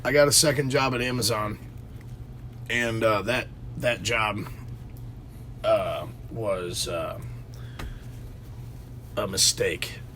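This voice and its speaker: A man's voice: lowish voice, slow pace, pauses often, pushed and bright, slight background noise. A man co-hosting a podcast from his basement, telling a rambling story with lots of restarts and fillers. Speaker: podcast co-host. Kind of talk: casual chat. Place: home basement.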